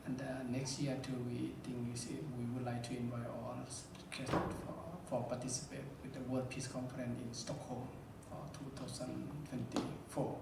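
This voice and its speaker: A man from Thailand, speaking halting, simple English as an audience member at a panel. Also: mid-range voice, completely flat delivery, vocal fry. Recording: echoey hall, distant mic, background noise.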